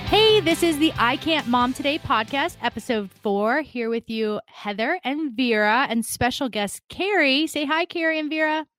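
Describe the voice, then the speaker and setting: A woman's voice: high voice, lively intonation, normal speed, few pauses, a bit bright, no room sound, faint music behind. A young woman hosting a casual podcast, upbeat and matter-of-fact. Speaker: podcast host. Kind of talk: casual chat.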